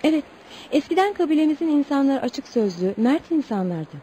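Wistful young tribeswoman, high voice, formal storytelling delivery, radio play with light background noise. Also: big pitch swings, brisk, dark tone, rough recording. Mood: troubled, earnest. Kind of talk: radio theatre acting.

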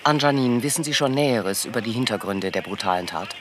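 An actor playing an earnest TV news anchor, presenting a bulletin formally within a radio drama. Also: male voice, mid-range voice, animated, fast talker, background noise, lightly acted. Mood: formal, grave.

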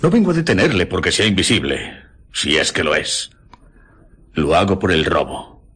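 A husky village policeman in a radio play, blunt and stubborn. His lowish voice swoops dramatically as official firmness turns to flustered excitement. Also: normal speed, heavily acted. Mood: firm, official. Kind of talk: Spanish radio drama acting.

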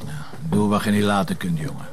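An actor playing a grumpy, sarcastic police commissioner with a curt, dismissive Flemish delivery in a naturalistic TV drama. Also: male voice, low voice, brisk, background noise, natural voice. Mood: irritated, sarcastic.

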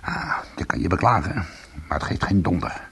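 Gravelly low male voice, bitter jailbird going straight, sardonic, radio drama. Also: very animated, moderate pace, fairly bright tone, full character voice. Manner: sardonic, defiant. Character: bitter, defiant male ex-convict trying to go straight. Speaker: actor in a radio play. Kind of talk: Dutch radio drama dialogue and narration.

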